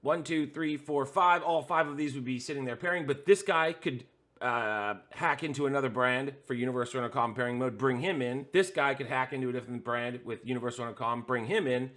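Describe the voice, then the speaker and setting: A man's voice: medium pitch, a little fast, a bit bright. A man hosting a hands-on tutorial, explaining a setup step by step with frequent "uh" fillers while pointing at the devices in front of him. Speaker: host.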